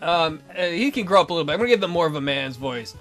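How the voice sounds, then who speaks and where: Lively, bright-voiced woman doing game commentary. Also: on the low side, rapid-fire, soft background music. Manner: playful, blunt, swears. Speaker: let's play host. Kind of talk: casual gameplay commentary and reading aloud.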